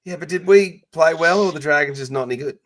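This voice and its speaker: A man's voice: fairly high pitch, fast, a bit bright, a little hiss or hum. Upbeat Australian podcast host guy. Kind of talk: casual sports podcast chat.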